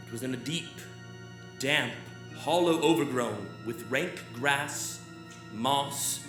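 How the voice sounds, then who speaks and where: A man's voice: medium pitch, lightly acted. A man playing an anguished occult scholar in a theatrical old-time radio drama, speaking in formal, grave, emphatic phrases. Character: anguished, haunted male occult scholar giving a statement. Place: theater stage, live performance. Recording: roomy live stage, music underneath. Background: clear music.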